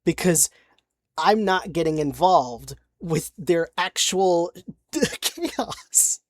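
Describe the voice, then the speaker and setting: A young woman chatting casually about her tabletop game character, halting with many restarts and little giggles. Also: fairly low pitch, animated, no echo, clean studio sound. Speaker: player recounting a tabletop campaign. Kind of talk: casual rambling chat.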